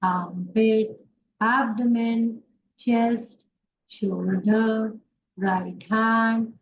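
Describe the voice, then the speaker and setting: A woman yoga instructor leading a guided relaxation meditation in short instructions with pauses between them. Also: a little high, steady tone. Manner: slow, pausing instructions in short phrases. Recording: dull lo-fi sound, faint background noise.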